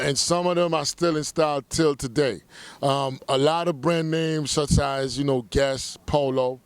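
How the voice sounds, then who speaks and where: Middle-aged man, clear resonant voice, casual promotional talk, emphatic check-ins, dry close sound. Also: mid-range voice, no echo. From Haiti, raised in Brooklyn, New York. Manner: conversational, emphatic, repeats check-in tags. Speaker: documentary filmmaker.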